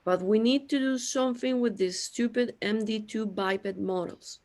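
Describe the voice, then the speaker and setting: A woman playing a lonely, self-pitying immortal creator god in a scripted audio drama, speaking in a plaintive, deliberate way. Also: fairly high pitch, darkish tone, natural voice. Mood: complaining.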